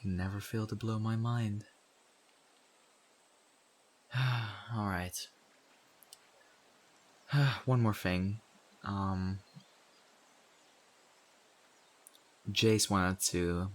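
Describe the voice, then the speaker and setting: Casting: male actor as exasperated, principled apocalypse survivor, lowish voice, earnest scolding delivery with weighted pauses, for audio roleplay. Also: fairly even intonation. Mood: weary, serious. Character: stern survivor community member in zombie apocalypse, exasperated, principled. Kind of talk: audio roleplay acting addressed to the listener.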